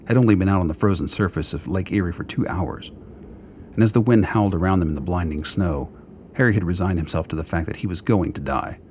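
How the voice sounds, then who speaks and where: A man with a remarkably low voice narrates scripted drama. His delivery is measured and literary, like an audio storyteller, with a faint bed of ambient noise behind him.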